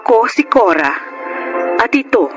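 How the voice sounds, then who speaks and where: A woman's voice: fairly high pitch, sing-song, unhurried, muffled recording. An earnest, devoted poor mother, music underneath. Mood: relieved, reflective. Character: poor, pleading, devoted mother living on alms. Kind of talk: Filipino radio drama acting. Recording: radio drama with loud music underneath.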